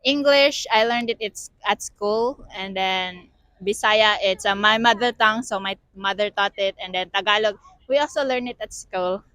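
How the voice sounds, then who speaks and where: A woman with a Cebuano accent, giving slow, simple answers in a street interview. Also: mid-range voice, bright, forceful tone, clean tone, no room sound.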